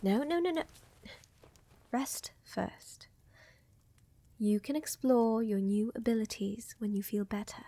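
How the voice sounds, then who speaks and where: A woman playing a tender, doting vampire countess in a soft romantic ASMR roleplay, gentle, reassuring and often whispering close to the microphone. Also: on the high side. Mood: fond, reassuring. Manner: gentle, reassuring, unhurried, affectionate. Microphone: close microphone, dry.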